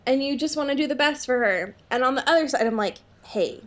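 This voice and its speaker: A woman's voice: sits high, expressive, fairly quick. A woman co-hosting a podcast, chatting casually about a book and acting out quotes with informal asides. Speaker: podcast co-host. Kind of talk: casual book discussion chat.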